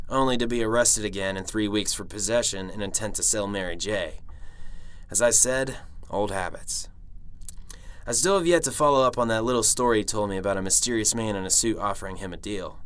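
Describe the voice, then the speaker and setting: A man's voice: fairly low pitch, a little fast, a bit bright, lightly acted. A man playing a cynical, sarcastic, world-weary detective in an amateur radio drama, with a dry, sardonic manner. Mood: wry, weary. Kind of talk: amateur radio drama acting and first-person narration.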